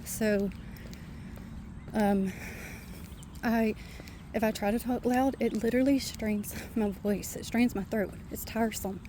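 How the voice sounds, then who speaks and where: A soft-spoken woman with a quiet voice, chatting casually to her viewers while walking outdoors in a park. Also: on the high side, fairly even intonation, slow pace, long pauses, background noise. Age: adult with a son. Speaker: vlogger. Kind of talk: casual walking vlog chat.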